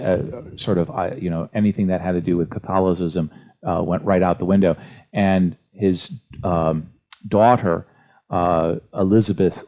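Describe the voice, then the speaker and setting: A man hosting a religious question-and-answer talk as a priest, speaking off the cuff and haltingly, often searching for words. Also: low-pitched. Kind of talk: unscripted church history discussion and reading listener questions. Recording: dull, muffled lo-fi audio.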